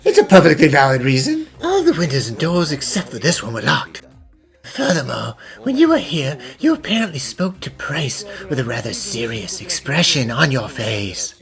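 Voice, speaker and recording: A woman voicing a haughty, brusque chief police inspector in an animated fan dub, pompous and declamatory. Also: rumbling low, normal speed, creaky, faint music behind, full character voice. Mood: accusing.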